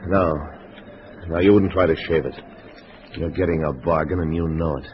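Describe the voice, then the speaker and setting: Surly lowlife in a vintage radio thriller; low gruff voice, slangy, muffled noisy recording. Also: male voice, steady tone, normal speed. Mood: grudging, wary. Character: surly, suspicious crook selling stolen secrets. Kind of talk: 1950s spy radio drama acting.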